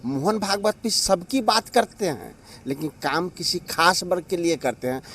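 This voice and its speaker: A man's voice: high voice, normal speed, fairly bright tone, a little hiss or hum. A man giving a critical press sound bite as an opposition politician.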